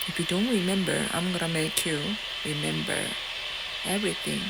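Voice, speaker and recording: A woman's voice: on the low side, moderate pace, vocal fry. A woman with a Korean accent talking straight to her viewers in a rambling, defiant way, swearing and full of fillers and restarts. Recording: loud steady background noise.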